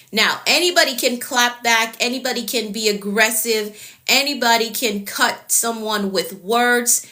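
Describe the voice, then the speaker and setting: A woman's voice: mid-range voice, sharp tone. A woman with a Jamaican accent, a productivity coach giving a live-stream talk, repeating her key points and greeting viewers by name.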